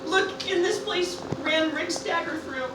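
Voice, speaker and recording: A woman's voice: very high-pitched, measured pace. A woman playing an impassioned funeral orator in a declamatory amateur Shakespearean radio play. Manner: declamatory, theatrical. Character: impassioned funeral orator stirring a mourning crowd. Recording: very echoey, distant, noisy live room.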